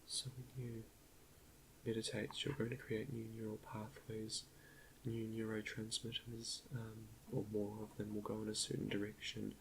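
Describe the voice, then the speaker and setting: A man quietly leading an ASMR guided meditation in a soft half-whisper, gentle and a little hesitant, close to the microphone with chalk clicks behind him. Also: on the low side, monotone, normal speed, noisy background. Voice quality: soft, breathy half-whisper with creaky fry.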